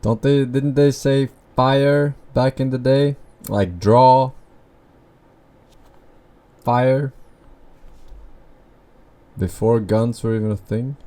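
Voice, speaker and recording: A man's voice: medium pitch, fairly even intonation, extremely slow, pauses often, mellow. A man streaming, giving casual off-the-cuff comments as he watches a video.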